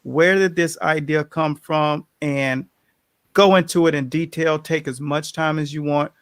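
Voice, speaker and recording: A man hosting an interview show, emphatic and animated, with frequent affirmations. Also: fairly high pitch, a little slow, clear, resonant tone, no echo, studio quality. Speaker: show host.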